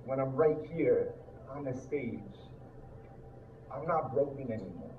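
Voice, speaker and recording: A young man performing spoken word poetry at a live slam, delivering his lines in an earnest, dramatic, deliberate way. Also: medium pitch, lively intonation. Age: young adult. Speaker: slam poet. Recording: echoey room, distant muffled mic, noisy.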